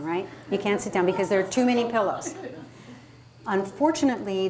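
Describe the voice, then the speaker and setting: A woman presents a live workshop as a speaking coach in a medium-pitched voice. She is conversational and emphatic, often turning to the listeners with questions. Noise from the room is clearly audible around her. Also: a little mellow. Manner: emphatic, conversational, asks the audience rhetorical questions.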